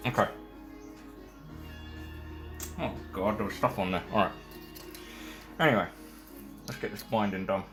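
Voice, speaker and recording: A man's voice: fairly low pitch, a little fast, a bit bright. A man chatting casually on a live stream while painting and building a case, rambling and self-deprecating as he works. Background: faint music.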